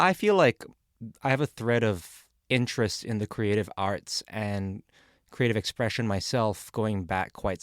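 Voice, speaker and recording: A man's voice: on the low side, no echo, clean studio sound. A young adult man, a documentary filmmaker speaking as a podcast guest, telling his story thoughtfully and articulately. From born in Malaysia, raised in Australia. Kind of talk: podcast interview.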